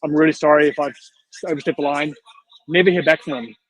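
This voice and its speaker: A man in his thirties who grew up speaking Afrikaans, rattles off a story at a very fast clip with many fillers. His voice sits a little high. The recording is dry and close. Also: fairly even intonation, a bit bright, no room sound, rough recording. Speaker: guest, videographer. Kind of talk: storytelling in a podcast interview.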